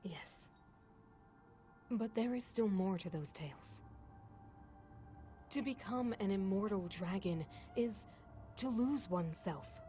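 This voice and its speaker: An actress playing a calm, scholarly woman in a video game cutscene, speaking in a measured, thoughtful and precise way. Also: medium pitch, soft background music. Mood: grave, regretful. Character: calm scholarly woman, older sister of a king.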